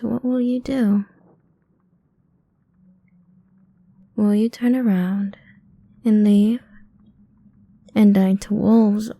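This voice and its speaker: A very dark, breathy vampire woman, acted by a female ASMR performer, speaks slowly with a creaky edge. She toys with her prey, condescending and cruel, often slipping toward a taunting half-whisper. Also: mid-range voice, no room sound. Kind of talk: improvised ASMR roleplay.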